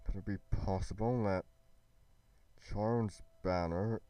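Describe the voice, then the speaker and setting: A man playing a solemn, noble young warrior in a fantasy roleplay, speaking deliberately and portentously with halting pauses. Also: fairly low pitch, fairly even intonation, mellow, dry recording, natural voice. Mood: wondering, halting. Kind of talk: dramatic roleplay monologue.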